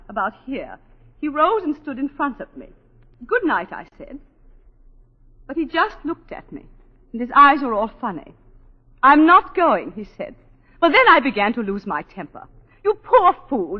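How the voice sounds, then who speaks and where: Casting: distraught colonial planter's wife, high-pitched, theatrical and halting, old radio play with long reverb. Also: female voice. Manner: halting, emotive, theatrical storytelling. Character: distraught planter's wife recounting a killing, self-possessed and indignant. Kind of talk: 1940s radio drama acting. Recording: dull 1940s radio broadcast, long reverb.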